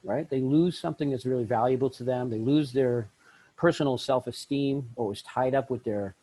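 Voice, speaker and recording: Man, middle-aged, husky voice, animated storytelling, life coach guest. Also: moderate pace, rough recording. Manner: acts out quoted voices with big pitch jumps. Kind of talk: animated storytelling in a podcast interview.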